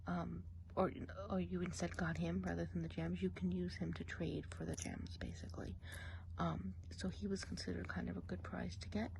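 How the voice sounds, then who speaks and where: Gossipy witch of the woods, a woman whispering it, soft rambly chatter, gravelly mellow tone. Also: on the low side, slight background noise. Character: gossipy female forest witch. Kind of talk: ASMR role play.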